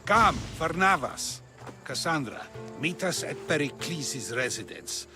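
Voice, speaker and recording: A man playing an earnest, purposeful ally in ancient Athens in a video game, speaking crisply. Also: fairly high pitch, expressive, measured pace, music in the background. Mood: urgent. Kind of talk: cinematic video game acting.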